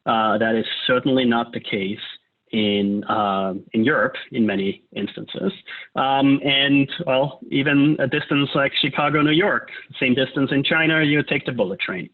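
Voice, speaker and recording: A man's voice: mid-range voice, normal speed. A man from Austria, a climate economist, explaining policy in a loose lecture style, often checking in with "right?". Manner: casual, frequent "uh" and "right?" tags. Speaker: climate economist leading a discussion. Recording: tinny phone-like line.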